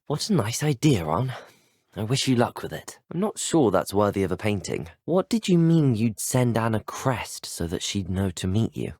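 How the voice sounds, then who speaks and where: Expressive, husky young British student wizard. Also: male voice, fairly low pitch, no echo, clean studio sound. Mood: earnest. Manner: courteous, articulate, formal phrasing. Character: earnest, polite young male student wizard, video game hero. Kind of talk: scripted video game dialogue, isolated voice clips.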